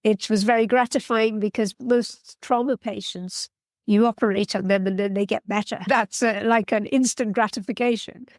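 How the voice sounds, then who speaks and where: A woman guest from Guyana explains her work in a practical, matter-of-fact way. Her voice sits at a middle pitch and is recorded close and dry, with no room sound. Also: fairly even intonation, moderate pace, no room sound. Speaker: orthopedic surgeon guest. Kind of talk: interview answers.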